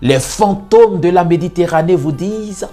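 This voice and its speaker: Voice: mid-range voice, expressive, normal speed, warm tone. A poet reciting spoken-word verse in a solemn, declamatory way with theatrical emphasis. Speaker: slam poet. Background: music under the voice.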